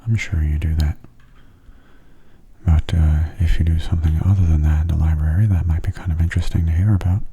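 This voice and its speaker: Guy doing asmr game commentary, super soft n breathy, really mellow dark voice, gentle. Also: extremely low pitch, steady tone, fairly quick. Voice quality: soft, breathy, half-whispered. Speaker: video game streamer.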